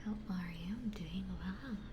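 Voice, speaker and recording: A woman's voice: fairly low pitch, a little slow, very noisy. A woman whispering breathily in a playful, rambling way, chatting casually as an ASMR streamer close to a binaural microphone. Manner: playful, rambling, repeats phrases. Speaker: ASMR livestreamer chatting with viewers.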